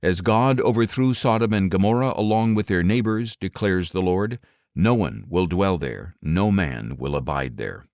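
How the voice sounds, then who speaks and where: A man with a low, deep voice reads scripture as an audiobook narrator. His delivery is solemn and dramatic, with wide swings in pitch and measured, emphatic phrases that drop at their close. The recording is dry and close, without room sound. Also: lively intonation, darkish tone, no echo.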